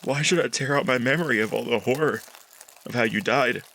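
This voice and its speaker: An actor playing a guilt-ridden, horror-struck scientist losing his mind in a 1940s-style radio drama, halting and repeating himself melodramatically. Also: mid-range voice, sharp tone, slight background noise. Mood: bitter, weary despair.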